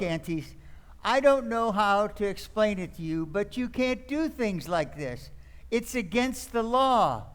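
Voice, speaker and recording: A man's voice: high voice, smooth. A man playing a flustered, aghast young nephew in an old-time radio comedy, stammering and repeating himself in exasperation.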